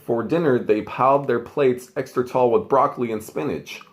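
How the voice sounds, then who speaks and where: A man's voice: medium pitch, moderate pace. A man reading a children's storybook aloud in an informal, chatty way, with casual asides. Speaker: amateur storybook reader.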